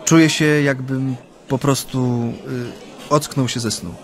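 An exiled Japanese activist in a radio play, a man with a medium-pitched voice. He reminisces thoughtfully, hesitating and humming between phrases. He sounds proud and homesick, in a natural, understated style. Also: steady tone. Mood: melancholy, dignified. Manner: hesitant, reflective, pausing with hums.

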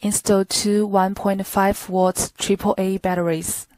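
A woman reading a scripted instructional product tutorial in a flat, even delivery. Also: mid-range voice, a little slow, no room sound, low-quality recording.

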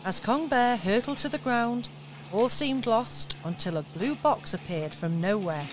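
A woman's voice: a little high, a little slow. Woman narrating a radio pantomime. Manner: steady storytelling. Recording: radio recording with faint noise.

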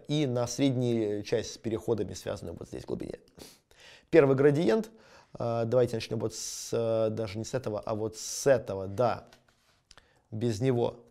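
A man in his thirties teaches from a small studio with a plain light backdrop, his voice at an ordinary middle pitch. He talks in a methodical, explanatory way, narrating each step and filling gaps with short hesitations. The sound is a front-facing camera recording. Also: a little mellow. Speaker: photography instructor. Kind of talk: screen-recorded editing tutorial.

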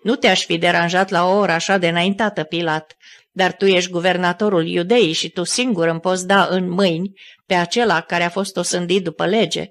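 A reader voicing Joseph of Arimathea as a calm, respectful councillor speaking softly in an audiobook. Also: medium pitch, lightly acted.

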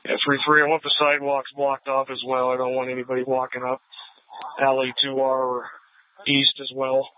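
A man working as a police officer at a scene, giving halting, clipped orders over police radio. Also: mid-range voice, monotone. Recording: phone-like police scanner radio audio.

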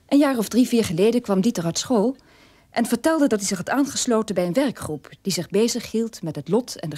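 A woman's voice: medium pitch, a little mellow, breathy edge, no echo. An actress playing a troubled middle-aged mother telling her family's story in a radio play monologue.

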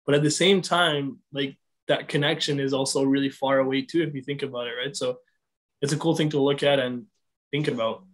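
A man's voice: mid-range voice, steady tone, fairly quick. A young man from Sri Lanka talking casually on a video call panel, chatty with lots of "like" and "right". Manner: chatty, many likes and rights.

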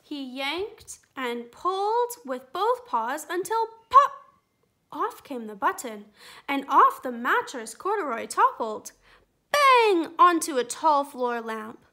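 A woman reading a children's picture book aloud, gentle and expressive. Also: high voice, takes it slowly. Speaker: storytime reader.

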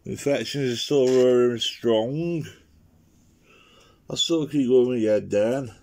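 A man with a croaky, infection-roughened voice talks his way through a watercolour painting at his living-room table. He speaks slowly and in a rambling way, his voice low, dark and gravelly. It sounds like a home video from a camera sitting on the table. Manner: rambling, chatty, talks to himself while painting. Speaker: watercolour artist demonstrating. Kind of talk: casual painting demo commentary. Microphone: camera on the table, speaker off-screen.

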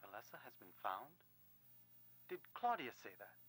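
An actor playing a sly, worldly cult priest in a video game, talking in a knowing, insinuating way. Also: male voice, medium pitch, huge pitch swings, normal speed, fairly bright tone, slightly rough, full character voice, poor audio. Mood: wry. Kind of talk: dramatic game dialogue.

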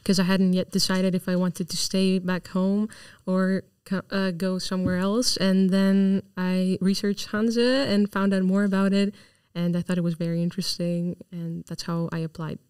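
A woman's voice: fairly low pitch, little pitch movement, a little mellow, clean tone, no echo, studio quality. A young woman student from Greece and Italy, a podcast guest talking casually with some hesitation. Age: young adult. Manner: quick, slightly hesitant with "um" and "uh". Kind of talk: casual interview chat. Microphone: desk mic on stand.

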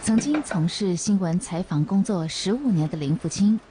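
A woman's voice: fairly low pitch, animated, normal speed, warm tone, slight background noise. A woman with a Taiwanese Mandarin accent reading scripted documentary narration. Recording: music under voice-over.

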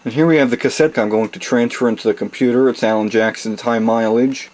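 Man calmly explaining a home tutorial. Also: mid-range voice, quick pace. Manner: plain, methodical explaining. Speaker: tutorial host. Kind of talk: step-by-step how-to demonstration. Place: home desk with computer and mixer.

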